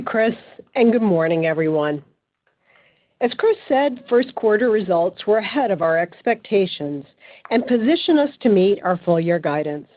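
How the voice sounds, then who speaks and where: A woman's voice: mid-range voice, dry recording. A woman speaking as chief financial officer, reading a scripted financial report in a formal, businesslike manner. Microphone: phone conference line. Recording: tinny, narrow phone conference line.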